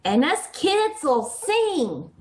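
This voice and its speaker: An eager little kid in a radio comedy, piping and unhurried. The voice is very high and full of questions, with a roomy video-call sound around it. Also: female voice, big pitch swings, exaggerated voice. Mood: excited, chatty. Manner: pestering, repeats questions. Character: eager, chatty little neighbourhood girl. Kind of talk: old-time radio comedy acting.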